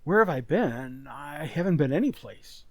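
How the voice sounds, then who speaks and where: A man playing a confused, forgetful, apologetic husband with halting speech in a radio mystery. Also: fairly high pitch, big pitch swings, darkish tone, no room sound. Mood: bewildered. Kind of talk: old radio mystery acting.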